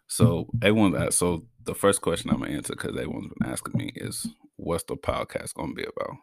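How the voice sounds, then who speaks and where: Very deep, gravelly young man. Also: big pitch swings, fairly quick, dry recording, studio quality. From Brockton, Massachusetts. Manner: slangy, laid-back, stutters at times. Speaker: podcast host. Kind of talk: casual solo podcast talk.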